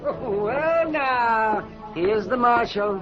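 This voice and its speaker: An actor playing a sly, ghoulish old town doctor in a vintage western radio drama. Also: male voice, very high-pitched, extremely slow, full character voice. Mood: gleeful, teasing. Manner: sly, excitable, mock-romantic. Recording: dull old radio recording, music behind.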